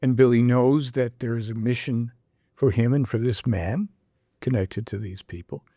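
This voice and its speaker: A man's voice: medium pitch, normal speed, warm tone, no echo. Man reading aloud and musing, dry close sound. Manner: reads prophecy text then adds his own commentary, asks rhetorical questions. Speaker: podcast host. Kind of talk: reading aloud and casual discussion.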